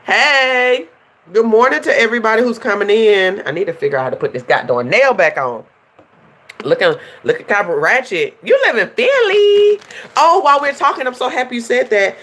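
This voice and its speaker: A young woman, a life coach hosting a casual livestream and chatting directly to her viewers. She sounds stuffy and nasal from a runny nose, and a little hoarse. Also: a little high, expressive, normal speed, a bit bright. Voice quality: slightly hoarse.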